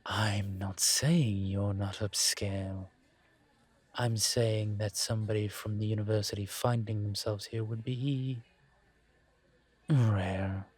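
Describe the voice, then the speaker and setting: A man voicing a suave, teasing incubus professor in an intimate audio roleplay, courteous and measured, with deliberate pauses. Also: on the low side, fairly even intonation, a little mellow, breathy edge. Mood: measured, gently wry. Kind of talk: intimate one-sided audio roleplay acting.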